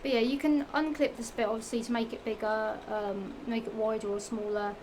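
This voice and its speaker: A woman's voice: on the high side, steady tone, fairly quick, a little mellow. A young woman with a Norfolk accent chatting casually about shoes in a vlog, rambling and repeating herself, her voice a little strained from the heat. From England. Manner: rambling, self-repeating, giggly, with many fillers and restarts. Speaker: vlogger. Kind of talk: casual chatty product show-and-tell. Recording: noisy home recording.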